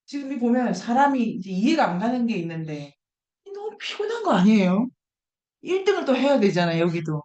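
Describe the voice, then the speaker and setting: A woman's voice: on the high side, sing-song, a little fast, darkish tone, roomy sound. A woman lecturing informally to a seminar group, thinking aloud, posing questions and repeating key words for emphasis. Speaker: lecturer leading an online book seminar.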